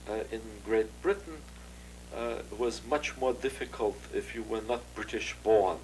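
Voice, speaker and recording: An older man giving a measured, recalled testimony as an interviewee, with frequent pauses and filler sounds. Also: medium pitch, slight background noise, rough recording.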